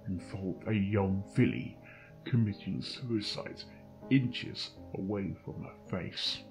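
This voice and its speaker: A man's voice: low voice, slow pace, creaky, a little mellow, heavily acted, rough recording. A man playing a haunted, weary pony doctor and investigator in an amateur audio drama, grave and dramatic. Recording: prominent guitar music behind voice.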